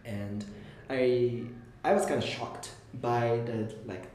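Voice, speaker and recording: A man's voice: mid-range voice, fairly even intonation, slow pace, darkish tone. A man from Tokyo with a Japanese accent speaks casually as an interview guest, hesitantly searching for words. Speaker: interview guest, startup investor. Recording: very echoey room, faint background noise.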